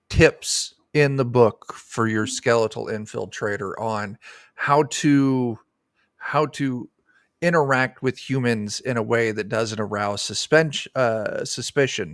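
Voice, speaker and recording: A man's voice: medium pitch, smooth, no echo, studio quality. A middle-aged man talking casually as a guest game designer in an interview over a video call. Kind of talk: casual interview chat about a tabletop game. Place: indoor room on a video call.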